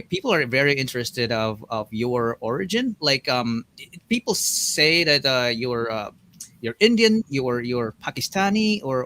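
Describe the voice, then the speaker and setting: A man hosting a casual live-stream interview, excitable and quick to laugh. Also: medium pitch. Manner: excitable, laughs often, says "bro" and "dude". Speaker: livestream host.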